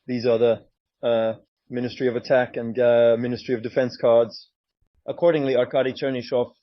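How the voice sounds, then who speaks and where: A man's voice: medium pitch, level tone, normal speed, dry recording, muffled recording. A man presenting a game's screens in a walkthrough, sometimes hesitant as he searches. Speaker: game presenter.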